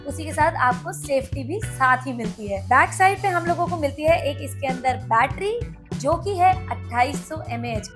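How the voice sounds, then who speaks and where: A woman with an Indian accent hosting a casual product review, explaining things step by step and speaking directly to viewers. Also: high-pitched.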